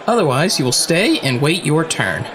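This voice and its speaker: Man playing a high-voiced, flamboyant fight-ring showman. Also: sing-song, moderate pace, a bit bright. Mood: placating, firm. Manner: theatrical, swinging, talkative. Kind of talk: audio drama acting.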